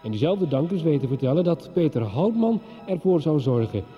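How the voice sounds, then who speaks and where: A man's voice: fairly high pitch, big pitch swings, brisk. A man reading a scripted recap of earlier episodes as a radio announcer. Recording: dull lo-fi broadcast sound. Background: music.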